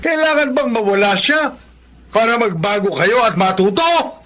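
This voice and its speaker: A man's voice: very high voice, expressive, normal speed, sharp tone, heavily acted. A man playing an elderly, stern and protective uncle in a Tagalog radio drama, speaking in an admonishing, preachy way. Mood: stern scolding.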